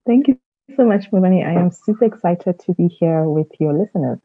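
A woman's voice: on the low side, expressive, brisk, dry recording, narrow, tinny audio. A woman from South Africa answering conversationally as an interview guest on a podcast. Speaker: podcast guest, leadership mentor.

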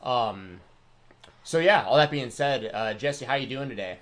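A man hosting a live stream in casual chat, relaxed and rambling, with many fillers. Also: mid-range voice, unhurried. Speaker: podcast host.

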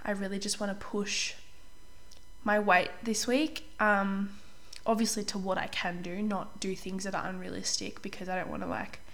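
A young woman hosting a casual solo podcast as a life coach, chatty and upbeat, slightly hoarse while getting over a cold. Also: mid-range voice, flat delivery, normal speed, fairly bright tone. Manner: chatty, upbeat, says "like" often, clears throat.

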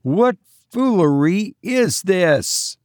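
A man's voice: medium pitch, big pitch swings, takes it slowly, creaky, mellow, no room sound, studio quality, heavily acted. A man voicing an astonished, impatient sailor on night watch, demanding answers in an audiobook. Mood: irritated. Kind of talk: audiobook character acting.

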